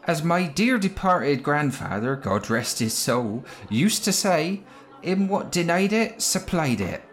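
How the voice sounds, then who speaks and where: A man playing an earnest, excitable spaceship cleaner in a sci-fi comedy audio drama. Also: on the high side, slow, soft background music. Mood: mock solemn.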